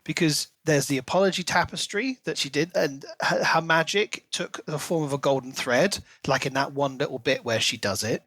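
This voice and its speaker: A man's voice: a little high, normal speed, few pauses, a bit bright, no room sound. A man co-hosting a podcast, talking through a book in a casual, thoughtful and analytical way. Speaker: podcast co-host.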